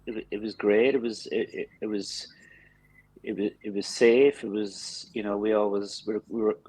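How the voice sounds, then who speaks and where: A man's voice: on the low side, steady tone, moderate pace, darkish tone, husky, dry recording, poor audio. An Irish man in his fifties with a Cork accent, a drummer chatting casually as an interview guest, often repeating and restarting his words. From Cork, Ireland. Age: 50s. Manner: hesitant, repeats and restarts words mid-sentence.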